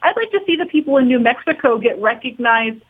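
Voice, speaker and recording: A woman's voice: fairly high pitch, lively intonation, quick pace, slightly rough. Woman on thin, phone-like audio. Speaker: documentary producer, interview guest. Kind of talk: casual interview talk. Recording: thin, phone-like narrow band.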